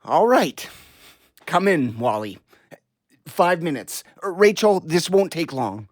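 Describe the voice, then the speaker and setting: An actor playing a harried but caring middle-aged family doctor, brisk and instructive, in an audio play. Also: male voice, fairly high pitch, big pitch swings, dry recording, clean studio sound, full character voice. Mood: brisk, stern.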